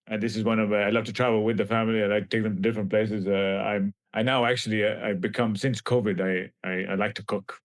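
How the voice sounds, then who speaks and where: A man in his forties from Kenya speaks as a scientist guest, his voice sitting low. He talks in a thoughtful, halting way and often restarts his phrases. The sound is close and dry. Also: flat delivery, no echo. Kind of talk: reflective interview answers.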